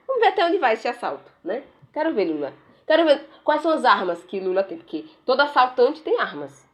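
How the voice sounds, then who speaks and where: A woman giving casual political commentary while browsing pages on her screen, sarcastic and rambling, tagging sentences with questions. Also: on the high side. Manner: frequent "né?" tags, rambling, sarcastic.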